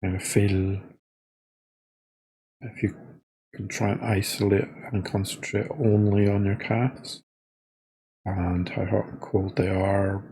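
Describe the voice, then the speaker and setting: Low, husky man guiding meditation slowly. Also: mellow, rough recording. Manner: hesitant, halting delivery with trailing phrases. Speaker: meditation guide. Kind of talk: guided body scan meditation, informal and unscripted.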